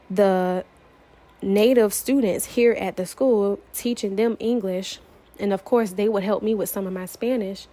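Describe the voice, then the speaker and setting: A woman's voice: medium pitch, a little mellow. Earnest young woman telling her story. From United States, Florida. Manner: earnest and emphatic, repeating phrases for emphasis. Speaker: vlogger sharing her testimony. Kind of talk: personal storytelling testimony.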